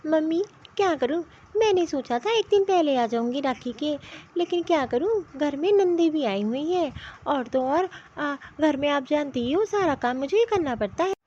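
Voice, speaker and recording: A woman's voice: high-pitched, animated, normal speed, warm tone, a little hiss or hum. A woman voicing a reassuring young married daughter in a cartoon family story, with slightly dull sound. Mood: apologetic. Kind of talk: Hindi comedy cartoon story dialogue.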